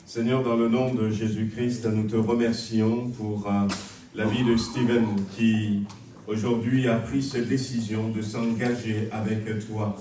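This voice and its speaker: A male pastor praying over and baptizing a believer in a church, measured with pauses. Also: fairly low pitch, slight background noise. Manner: flat, measured, pausing with fillers. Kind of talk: prayer and baptism rite. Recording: echoey church hall from far off, congregation around.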